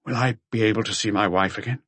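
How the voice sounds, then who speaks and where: A man's voice: fairly low pitch, fairly even intonation, fast talker, fairly bright tone, no echo. A man acting a subdued, shaken middle-aged murderer. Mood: anxious.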